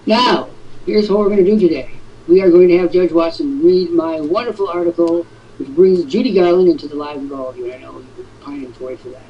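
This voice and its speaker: A man with a raspy throat hosting an online business webinar, rambling and joking in a theatrical way. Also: a little high, brisk. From New England. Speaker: webinar host. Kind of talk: casual webinar chat. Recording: dull lo-fi online audio with background noise.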